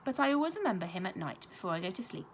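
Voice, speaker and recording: A young adult woman, a primary school teacher reading a children's novel aloud with expressive storytelling. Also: mid-range voice, rapid-fire, a little hiss or hum. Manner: expressive storytelling with lively intonation.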